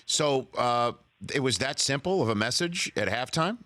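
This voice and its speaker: A man's voice: mid-range voice, expressive, normal speed, fairly bright tone, no room sound. A man hosting a sports talk show, asking eager questions and often repeating words as he starts them. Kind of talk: radio interview.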